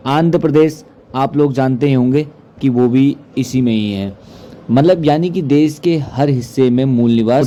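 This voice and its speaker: A man's voice: mid-range voice, mellow, slight background noise. A man presents a political explainer as an activist, speaking earnestly in formal diction. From Uttarakhand, India. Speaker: activist commentator. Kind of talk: political explainer to camera or voice-over.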